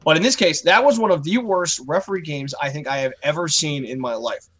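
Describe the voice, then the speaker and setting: Animated male podcast co-host. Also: fairly high pitch, fairly quick. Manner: opinionated, animated when ranting. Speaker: podcast co-host, youth coach. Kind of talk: casual sports podcast chat.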